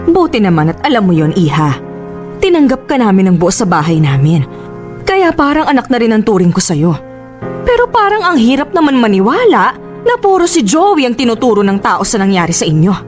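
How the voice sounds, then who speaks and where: A woman with a Filipino accent playing a combative, sharp-tongued, defensive mother in a radio drama, argumentative and sarcastic. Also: on the high side, sing-song, brisk, soft background music. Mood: accusing.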